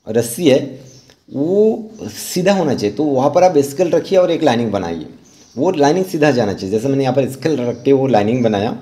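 A man narrating an informal step-by-step art tutorial as the instructor, speaking in a halting, instructive way. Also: mid-range voice, warm tone.

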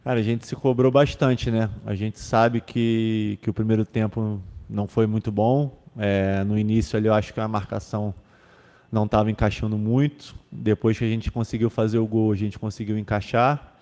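A man's voice: lowish voice, flat delivery, moderate pace, dark tone, long reverb tail. A young man, a football defender, answering press questions in a casual way, with many fillers and restarts, into a table microphone. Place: club press room with sponsor backdrop. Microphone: table microphone on stand.

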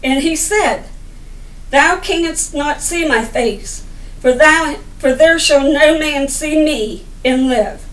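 Slow, high-voiced woman reading scripture. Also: fairly bright tone. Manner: deliberate, broken phrasing with stumbles over words. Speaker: lay preacher reading scripture. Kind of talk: halting Bible reading aloud. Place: indoor room by a window. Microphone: camera a little way off. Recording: roomy, distant camera microphone.

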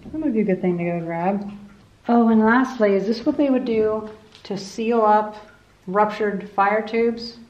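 A woman's voice: mid-range voice, measured pace, darkish tone. Curious woman in an echoey basement. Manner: curious, technical, lots of fillers. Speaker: vlogger exploring a basement. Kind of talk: casual exploring commentary. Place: cluttered basement boiler room. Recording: echoey basement, dull camera audio, faint hiss.

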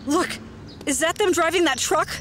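A woman's voice: extremely high pitch, normal speed, fairly bright tone, slight background noise. An actress in an audio drama playing a shaken, anxious young woman.

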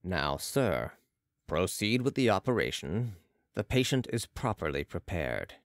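Guy doing a mystic hypnotist for an audiobook, deep quiet voice, totally calm and bossy. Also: unhurried, no echo, studio quality, exaggerated voice. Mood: commanding. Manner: calm, assured, commanding. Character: commanding, serene male mesmerist with mystical authority. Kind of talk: audiobook character voice.

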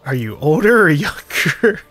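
Teasing young man, slightly high voice. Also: very animated, unhurried, a bit bright, faint music behind. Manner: laid-back, teasing, laughs easily. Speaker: host. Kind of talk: casual gaming chat.

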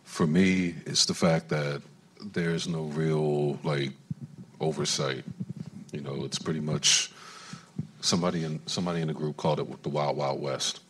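Man in his forties, plain-spoken panelist; very deep, husky, monotone. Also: a little slow, poor audio. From Huntsville, Alabama. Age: 40s. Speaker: panelist, warehouse worker. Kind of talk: panel discussion. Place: large conference stage. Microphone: handheld mic.

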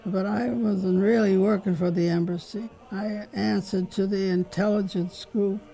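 An older woman with a gravelly, mellow voice reminisces in a flat, plainspoken way. She is interviewed in a living room, with soft music playing underneath. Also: medium pitch, a little slow, low-quality recording. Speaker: interviewee, veteran. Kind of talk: documentary interview recollection.

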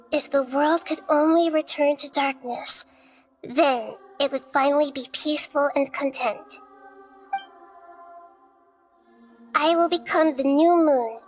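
A wise child goddess from a video game. Her voice is high and gentle. She speaks in measured, solemn phrases like a storyteller, over music. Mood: solemn storytelling. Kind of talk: scripted video game dialogue.

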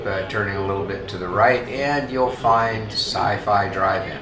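A man in his seventies co-hosting a restaurant tour vlog, talking in an easygoing, chatty way full of filler sounds. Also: lowish voice. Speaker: vlog co-host. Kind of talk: casual restaurant tour narration. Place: themed dine-in restaurant, indoors. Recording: roomy with ambient restaurant noise.

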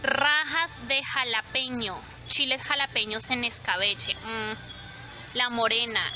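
Brief: young woman, chatty handheld vlog, high and very bright voice. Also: takes it slowly, soft background music, poor audio. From Colombia. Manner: chatty, expressive, addresses viewers directly. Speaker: vlogger. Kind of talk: casual walk-through vlog. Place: supermarket aisles, indoors.

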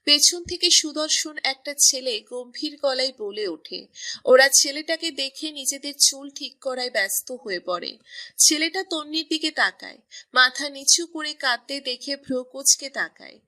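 A woman narrates a romance story in a high, bright voice with an even storytelling manner. Her delivery sounds crisp and scripted. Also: moderate pace.

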